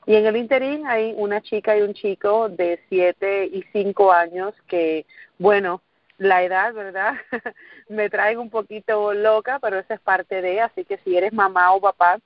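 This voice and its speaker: A middle-aged Puerto Rican woman speaks in a direct, earnest motivational manner and leans on repeated phrases to drive each point home. Her voice sits in an ordinary middle pitch, and the recording is dry and dull, like lo-fi call audio. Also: a little slow, no echo. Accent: Puerto Rican Spanish. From Puerto Rico. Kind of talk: motivational sales talk. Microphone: recorded call or conference line.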